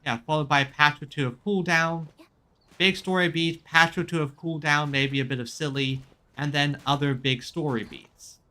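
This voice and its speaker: A man streaming a game, chatting casually in a halting way, often repeating and rephrasing himself mid-sentence. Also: a little high, steady tone, sharp tone. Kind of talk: casual chat commentary on a game. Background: music in the background.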